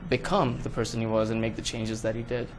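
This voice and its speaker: A man's voice: mid-range voice, level tone, fast talker, background noise. A young man from India, a guest in a casual interview, speaking earnestly with many fillers. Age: young adult.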